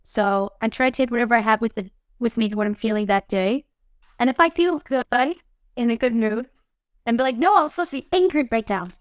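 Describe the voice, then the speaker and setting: Young woman, actress guest, chatty and animated, fairly high voice, dry close sound. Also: brisk, no echo. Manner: chatty, animated, frequent fillers like "you know what I mean". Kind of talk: casual interview talk. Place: studio podcast set.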